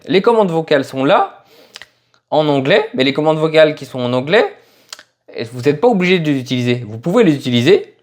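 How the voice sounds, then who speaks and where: A man's voice: a little high, dry recording, studio quality. A man hosting a casual gear demo, talking straight to his viewers. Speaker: motovlog host testing gear. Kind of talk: casual product demo to camera.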